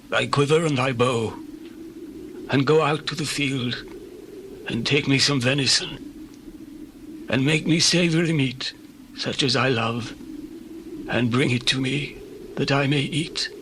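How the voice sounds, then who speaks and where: Guy doing old bible patriarch, grave and formal, forceful bright voice, bit of hiss. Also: medium pitch, lightly acted. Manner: formal, deliberate. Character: aged, dim-eyed patriarch, grave and questioning. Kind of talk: dramatized scripture reading. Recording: faintly noisy old recording.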